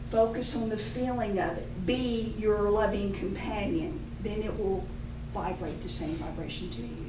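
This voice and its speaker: A woman, giving an inspirational spiritual teaching in a deliberate, emphatic, repetitive way. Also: on the high side. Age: 50s. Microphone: distant microphone. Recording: echoey room, distant mic, clear background noise.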